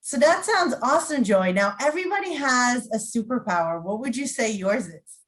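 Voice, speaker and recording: A woman's voice: fairly high pitch, lively intonation, normal speed, fairly bright tone. A woman hosting an interview in an upbeat style, asking questions and thanking her guest. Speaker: interviewer, host.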